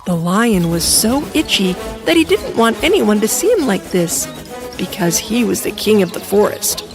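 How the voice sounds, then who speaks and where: A woman's voice: medium pitch, very animated, moderate pace, over music. A woman reading scripted narration for a children's bedtime story.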